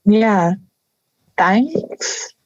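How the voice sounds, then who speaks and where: A woman's voice: mid-range voice, expressive, drawn-out words, dry recording, muffled recording. A young woman playing a friendly male wolf with a dry, sardonic manner in an audio drama. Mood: wry. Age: young adult. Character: friendly male big bad wolf, wry and gentle.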